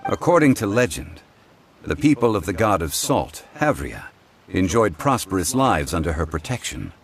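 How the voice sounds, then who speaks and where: An actor playing a calm, learned man who speaks in a composed, formal way in game dialogue. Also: low-pitched, normal speed, creaky, exaggerated voice.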